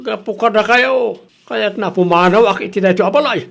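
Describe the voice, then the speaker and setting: A man's voice: sits high, moderate pace, vocal fry, a bit bright, full character voice. A man with an Ilocano accent playing a stubborn, defiant father in a radio drama, arguing back in a hard, argumentative way. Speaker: father in a family quarrel.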